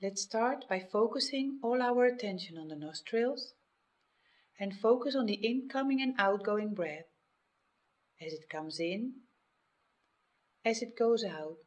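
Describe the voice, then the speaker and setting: A woman leading a guided meditation in a measured, instructional way, speaking in short phrases with pauses. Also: medium pitch, darkish tone, muffled recording. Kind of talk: guided meditation, scripted narration.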